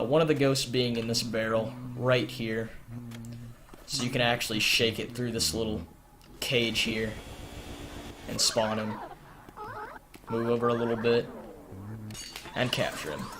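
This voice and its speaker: A young man narrating a step-by-step game walkthrough in an explanatory manner, his voice strained and cracking from long talking. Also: medium pitch. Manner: explanatory, repeats points, filler "uh". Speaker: speedrun guide narrator. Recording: noisy home recording with keyboard clicks.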